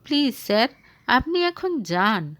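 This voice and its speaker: Earnest, wronged young assistant for audio drama woman performer: high, animated, pleading yet courteous. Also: slow, dry recording, exaggerated voice. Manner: pleading, indignant.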